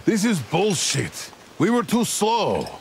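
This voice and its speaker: An actor playing a hot-blooded, burly frontier tough in a western video game, blunt and emphatic. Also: male voice, high-pitched, wildly swooping pitch, slow pace, vocal fry, a little mellow, a little hiss or hum, exaggerated voice. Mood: bitter. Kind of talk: dramatic video game acting. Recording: music behind the voice.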